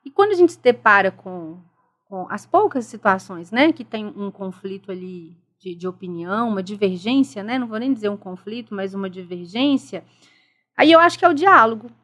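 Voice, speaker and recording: A woman's voice: medium pitch, animated, moderate pace, fairly bright tone. A middle-aged woman with a Brazilian Portuguese accent, speaking earnestly and reflectively as a guest in a casual podcast interview. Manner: earnest, reflective, stresses respect and dialogue. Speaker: guest, school director.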